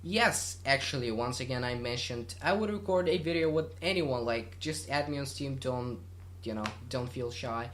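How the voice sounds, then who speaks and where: A man's voice: medium pitch, moderate pace, fairly bright tone, a little hiss or hum. A man from Bulgaria, a native Bulgarian speaker, hosting his own gaming channel and chatting loosely through viewer questions with lots of fillers and restarts. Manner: rambling, many fillers and restarts. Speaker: YouTube gaming channel host. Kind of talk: casual Q&A monologue.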